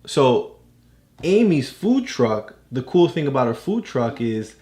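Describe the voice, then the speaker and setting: A man's voice: medium pitch, very animated, takes it slowly, mellow. A man, a father, casually and patiently explaining things to his child, checking with questions as he goes.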